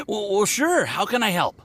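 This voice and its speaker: A man's voice: high-pitched, fairly bright tone, a little hiss or hum, full character voice. A man playing a nervous, awkward but good-natured young alchemist in a video game, stammering and easily flustered.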